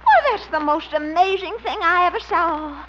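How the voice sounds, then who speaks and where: Muffled, hissy vintage radio comedy. an adoring, flirtatious sweetheart with a high voice, drawing out her coy, gushing words. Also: normal speed. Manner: coy, cooing. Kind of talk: 1950s radio comedy acting. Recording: muffled, noisy old radio recording.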